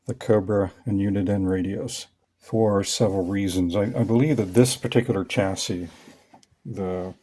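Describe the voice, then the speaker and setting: A middle-aged man with a low voice presents a hands-on hobby demonstration. He talks casually and explains things in short, measured phrases. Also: darkish tone.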